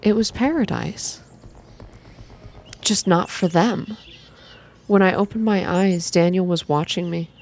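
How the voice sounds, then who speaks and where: A woman reading a story aloud in a soft-spoken, measured, atmospheric ASMR style. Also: fairly low pitch. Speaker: reader of a video game story.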